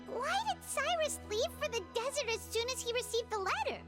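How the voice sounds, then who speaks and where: A squeaky, sky-high little female fairy sidekick in a video game, blunt and excitable, her pitch bouncing all over as she refers to herself in the third person. A music score plays beneath her, and a long reverb trails each line. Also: a little fast. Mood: probing. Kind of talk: game cutscene dialogue.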